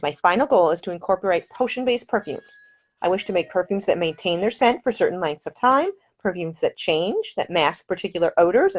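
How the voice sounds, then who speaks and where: A woman's voice: medium pitch, voice pushed up. A woman reading as a spirited young lady perfumer. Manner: brisk, earnest and self-assured. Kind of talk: audiobook character dialogue.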